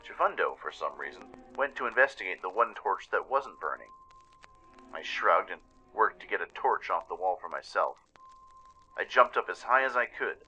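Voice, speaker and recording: A man's voice: low voice, lively intonation, normal speed, sharp tone, highs cut off, faint music behind. A man giving a dramatic scripted narration of a radio play story. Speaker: narrator and producer.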